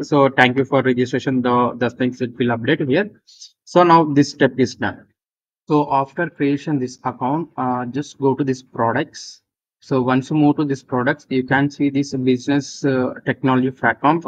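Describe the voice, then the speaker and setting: Male tutorial presenter, Indian accent, mid-pitched, halting step-by-step delivery, muffled lo-fi screen-recording sound. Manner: halting, repetitive step-by-step instruction. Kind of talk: screen-recorded software walkthrough, unscripted. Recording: dull lo-fi voice recording.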